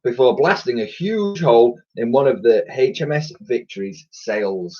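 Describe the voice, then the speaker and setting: Male teacher narrating a storybook; expressive, a little husky. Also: medium pitch, normal speed, muffled recording. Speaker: teacher reading aloud. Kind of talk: children's storybook reading.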